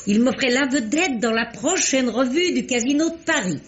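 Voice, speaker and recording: A woman's voice: sits high, normal speed. Picture a shrewd older lady detective in a vintage radio play. Her mid-height voice swoops and rises a lot as she lays out the crime with theatrical relish. The sound is dull and muffled, like an old broadcast. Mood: amused. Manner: crisp, theatrical, lively explanations. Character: shrewd older woman sleuth, wry. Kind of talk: 1950s French radio drama acting.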